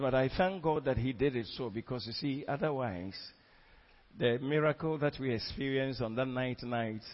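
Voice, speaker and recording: A man preaching with fervent, exclamatory energy, often repeating his words. His voice sits in an ordinary middle range, and the recording sounds dull and lo-fi. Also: mid-range voice. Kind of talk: sermon testimony.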